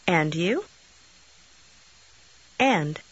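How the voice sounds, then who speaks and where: Woman speaking slow model phrases. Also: lowish voice, sing-song, husky. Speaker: model speaker in a language course. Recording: dull lo-fi tape recording.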